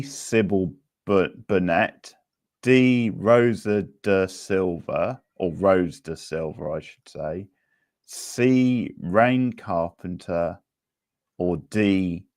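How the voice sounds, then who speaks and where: A man with a deep voice hosts an informal quiz. He takes his time, rambling casually and thinking out loud. The sound is dry, with very little room to it. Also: no echo. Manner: chatty, rambling, thinking aloud. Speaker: quiz host. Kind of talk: casual live quiz hosting.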